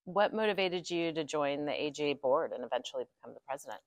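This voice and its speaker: A woman's voice: deep voice, lively intonation, quick pace, no room sound. A woman off camera asking brief, plain interview questions.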